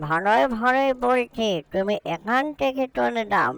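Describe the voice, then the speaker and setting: A woman voicing a fussy, expressive talking magic pot in a cartoon. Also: a little high, moderate pace, clean tone. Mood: exasperated scolding. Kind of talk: cartoon voice acting.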